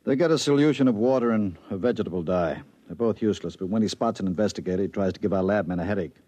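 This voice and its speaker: A man playing a steady bureau chief giving a briefing, in 1950s radio drama style. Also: lowish voice, rapid-fire, close to an everyday voice. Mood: matter-of-fact. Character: steady male bureau chief briefing his trusted investigator. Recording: muffled vintage 1950s radio recording.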